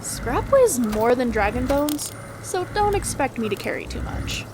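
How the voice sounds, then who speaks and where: A woman's voice: sits high, big pitch swings, a little slow. A woman voicing a plucky, teasing companion in a fantasy video game. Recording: loud game noise over voice.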